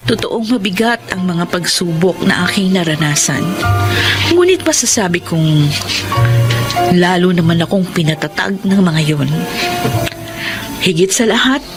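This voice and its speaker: An actress playing a headstrong, emotional young Filipina in a radio melodrama, with a Filipino accent and an emotive, pleading delivery. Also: female voice, lowish voice, expressive, a little slow, pauses often, music in the background. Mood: tearful gratitude. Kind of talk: Filipino radio drama acting.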